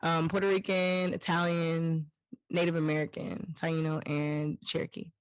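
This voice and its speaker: Deep-voiced woman, podcast guest, relaxed rambling delivery, dry close sound. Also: moderate pace, clean tone, no echo. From family from Jamaica. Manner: relaxed, rambling, laughs easily. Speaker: guest singer-songwriter. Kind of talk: casual interview chat.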